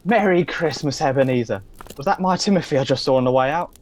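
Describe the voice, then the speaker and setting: An actor playing a meek, polite, good-hearted Victorian clerk in a radio play. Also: male voice, on the high side, quick pace, darkish tone, slight background noise, lightly acted. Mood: cheerful.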